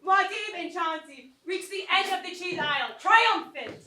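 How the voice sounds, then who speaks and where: A woman's voice: extremely high pitch, lively intonation, normal speed, pushed and bright. A woman reading scripted stage directions as narrator of a staged reading. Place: college theatre, indoors. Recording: echoey, noisy theatre, far from mic.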